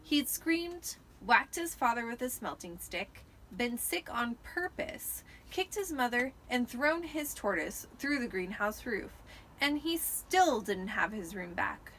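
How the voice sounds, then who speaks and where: A woman reads a story aloud dramatically, slightly drunk. Her voice is fairly high and very bright, with faint noise behind it. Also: big pitch swings, takes it slowly, poor audio. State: tipsy from wine. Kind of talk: dramatic amateur audiobook narration.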